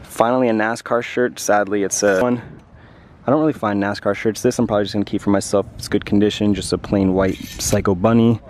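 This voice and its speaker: A man's voice: fairly low pitch, steady tone, brisk, darkish tone. Young man vlogging casually over background noise. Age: young adult. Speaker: vlogger and clothing reseller. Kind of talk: casual vlog chat. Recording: clear outdoor and vehicle noise.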